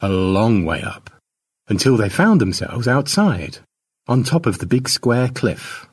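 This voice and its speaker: A man narrating a scripted short story in a dramatic storytelling manner, with a husky voice. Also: mid-range voice, very animated, unhurried. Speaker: author narrating his own short story.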